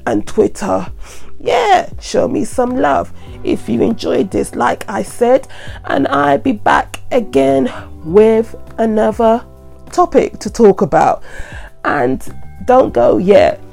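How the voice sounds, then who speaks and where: Croaky woman with a cold. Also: mid-range voice, warm tone. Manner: chatty, repetitive, earnest warnings. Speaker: vlogger. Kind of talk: casual advice monologue to camera.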